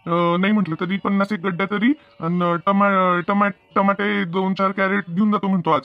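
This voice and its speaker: A man voicing an impatient farm owner in a village cartoon, brisk and matter-of-fact, with a rural Maharashtrian Marathi accent. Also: high-pitched, little pitch movement. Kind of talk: cartoon comedy dialogue. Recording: dull lo-fi, dry close sound.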